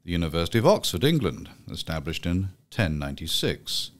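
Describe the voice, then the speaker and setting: A middle-aged man hosting an interview podcast in a small office room, speaking in a measured, formal way into a studio mic. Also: low-pitched, big pitch swings. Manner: measured, formal delivery with fillers in chat. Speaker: podcast host. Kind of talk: scripted intro then interview. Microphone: studio mic with pop filter.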